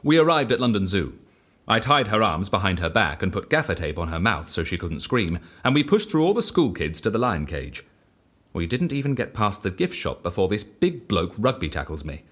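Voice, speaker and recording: An actor playing a reckless, exhilarated man on the run who lives by the dice, in an audio drama, excitable and evangelical yet matter-of-fact about outrageous deeds. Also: lowish voice, normal speed. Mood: earnest confession.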